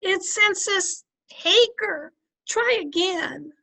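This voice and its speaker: Radio comedy role, actress: patient wife, high-pitched, cheerful and gently corrective. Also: female voice, very slow, gravelly, full character voice. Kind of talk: old-time radio comedy acting.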